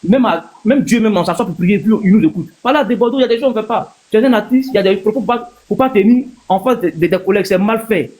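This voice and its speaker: A man's voice: high-pitched, fast, few pauses, a little mellow. A man from Ivory Coast with an Ivorian accent, giving his opinion casually as a panel guest on a live stream, repeating himself for emphasis.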